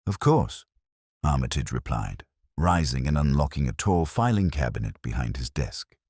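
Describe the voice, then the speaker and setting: A man with an extremely low voice narrates a story in formal, measured prose. His pitch moves freely as he shapes each scripted line, and the sound is close and dry. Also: darkish tone, no room sound. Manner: measured, formal, literary delivery. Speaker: audiobook narrator.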